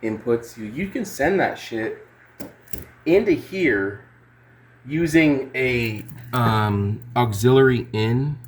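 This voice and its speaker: A man's voice: medium pitch, takes it slowly. A man giving a casual, unscripted music gear demo in a rambling, hesitant, sweary way. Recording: clear background noise.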